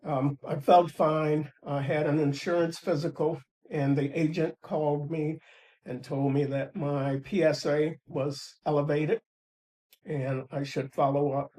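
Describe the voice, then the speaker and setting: A man in his seventies speaks in a documentary interview, slowly and haltingly, with frequent fillers. His voice sits a bit high for a man yet sounds mellow and dark. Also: level tone, poor audio. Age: 70s. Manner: slow, halting, with many fillers. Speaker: retired doctor interviewee.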